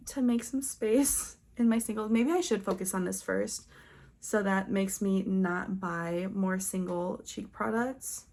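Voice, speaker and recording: Casual woman beauty vlogger, mid-pitched voice. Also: a little mellow. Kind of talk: casual product show-and-tell.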